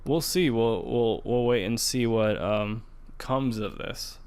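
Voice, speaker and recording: A man co-hosting a gaming podcast, chatting casually in a measured, skeptical way. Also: mid-range voice, darkish tone, smooth. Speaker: podcast co-host.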